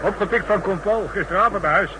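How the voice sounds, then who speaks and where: Actor as a brash, high-pitched joking salesman. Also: quick pace, close to an everyday voice. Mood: jovial, boisterous. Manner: loud, teasing, boisterous storytelling. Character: brash, jokey travelling salesman among train regulars. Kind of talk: 1960s radio drama acting. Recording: noisy old radio recording, vehicle rumble.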